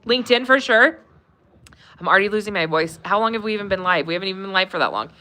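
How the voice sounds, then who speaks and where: A woman's voice: medium pitch, expressive, fast talker, background noise. A woman hosting a live Q&A stream, chatting casually with many fillers, laughing often and reading viewer comments aloud. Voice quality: warm, conversational, many fillers.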